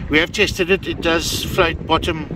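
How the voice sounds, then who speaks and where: A middle-aged man chatting excitedly to his viewers while fishing on an outdoor harbour pier, filmed on an action camera. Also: on the high side, normal speed. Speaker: fishing vlogger. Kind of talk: casual vlog narration. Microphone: handheld or body-worn action camera. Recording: loud wind and sea noise outdoors.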